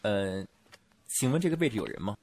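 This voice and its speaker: A man's voice: lowish voice, sing-song, a little fast, darkish tone, breathy edge, heavily acted. A man playing an impulsive, lovelorn young man in an amateur audio drama, informal and emotional, by turns teasing and pleading. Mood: urgent, exasperated.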